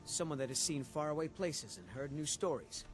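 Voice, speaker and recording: An actor voicing a boastful, smooth-talking village bard in a fantasy video game. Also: male voice, fairly high pitch, animated, normal speed, faint music behind, natural voice.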